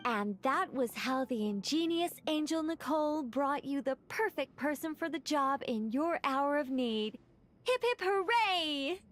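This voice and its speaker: A high, girlish-toned angel woman from a video game. She speaks theatrically, with a playful, boastful streak, over light background music. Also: animated, unhurried, a bit bright. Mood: smug, playful. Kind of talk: scripted video game voice acting.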